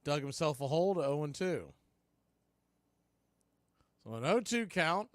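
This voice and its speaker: Man, American accent, higher voice, live baseball radio commentary, folksy homer, dry close sound. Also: sing-song, unhurried, no echo. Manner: partisan, folksy home-team commentary. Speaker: baseball play-by-play announcer.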